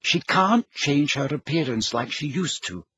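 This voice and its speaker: A man's voice: on the high side, lively intonation, a little slow, a bit bright, breathy edge, voice pushed up, exaggerated voice. A man voicing an earnest, indignant, clever teenage girl who explains insistently in an audiobook story. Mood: earnest, explaining.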